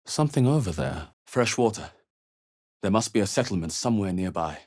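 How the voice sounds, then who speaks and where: An actor playing a male adventurer hero in a video game, wary and level-headed, with measured, earnest and theatrical delivery. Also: fairly low pitch, lively intonation, darkish tone. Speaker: video game player character. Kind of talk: scripted game voice lines, short exploration remarks and spell chants.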